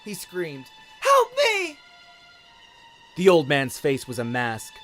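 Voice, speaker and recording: A man's voice: medium pitch, normal speed, faint music behind. A man with an Australian accent giving dramatic scripted narration as a storyteller in a radio-play style. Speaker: storyteller, voice actor.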